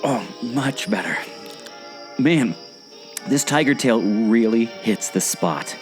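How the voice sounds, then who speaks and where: A man's voice: medium pitch, lively intonation, slow, faint music behind. A man playing a hungry, distracted, good-natured sidekick in a scripted audio drama, speaking in a playful way. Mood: cheerful. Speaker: podcast co-host.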